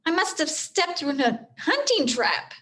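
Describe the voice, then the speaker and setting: A woman's voice: high voice, sing-song, a little slow, fairly bright tone, voice pushed up, full character voice. A woman voicing an anxious, cautious young storybook boy with an urgent, strained manner. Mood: alarmed, strained.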